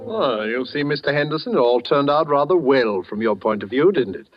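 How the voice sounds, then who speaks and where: A man's voice: medium pitch, animated, brisk, music in the background, natural voice. Suave, courtly male blackmailer played by an actor. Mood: smug, courteous. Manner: elaborately polite, wordy, insinuating. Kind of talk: 1940s radio thriller acting. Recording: dull vintage radio recording.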